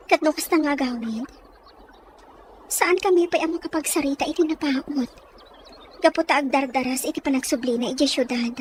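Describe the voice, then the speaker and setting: A woman's voice: high-pitched, a little mellow, a little hiss or hum, close to an everyday voice. A woman with a Filipino Ilocano accent playing a lovelorn, dutiful young provincial daughter in an audio drama. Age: young adult.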